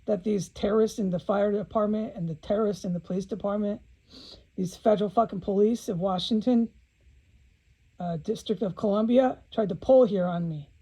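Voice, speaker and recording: A woman's voice: medium pitch, normal speed, mellow, husky, poor audio. A woman speaking in an informal video monologue, blunt and agitated, swearing often and repeating phrases for emphasis, with an aggrieved manner.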